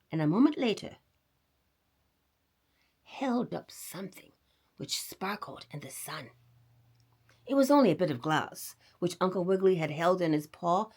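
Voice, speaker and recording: A woman reading a children's story aloud, dramatic and expressive, dropping at times into a hush. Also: fairly low pitch, slightly rough.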